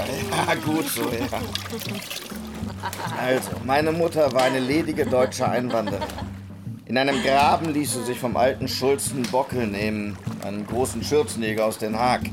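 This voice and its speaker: An actor in a radio drama playing a boastful, lewd Dutch brothel keeper who tells stories in a theatrical, bragging way. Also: male voice, mid-range voice, natural voice. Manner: theatrical, bragging, chuckling storyteller.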